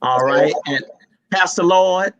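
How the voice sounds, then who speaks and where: High-voiced, bright male panel host. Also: slow pace, lo-fi sound, slight background noise. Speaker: host and moderator. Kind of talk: conversational panel moderating. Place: online video call.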